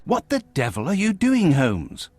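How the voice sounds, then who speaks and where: An excitable, husky British gentleman sidekick. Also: sits high, a little slow, soft background music, full character voice. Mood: exasperated, calling out. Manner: exclamatory, formal. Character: earnest, excitable Victorian gentleman, detective's loyal companion. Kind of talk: scripted adventure game dialogue.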